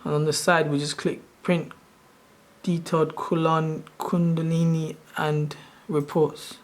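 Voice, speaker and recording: A soft-spoken man in his thirties, originally from Sri Lanka, casually walking through his own screen in a loose, rambling way. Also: a little high, little pitch movement, measured pace. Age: 30s. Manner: rambling, loose and self-correcting. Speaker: channel host. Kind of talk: casual screen-share walkthrough.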